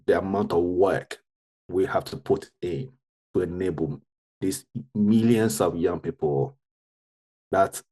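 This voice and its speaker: A man talks in a roundtable discussion, earnest and full of restarts. His voice sits low, with a husky grain to it. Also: a little mellow, low-quality recording. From Ghana. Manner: earnest, with frequent restarts and self-corrections. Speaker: guest, tech founder.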